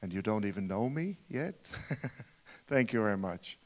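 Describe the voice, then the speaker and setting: A man's voice: lowish voice, animated, unhurried. A man with a Swiss German accent, a guest preacher, earnest and rhetorical, often asking the congregation questions. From Switzerland. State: tired from travel. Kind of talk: sermon.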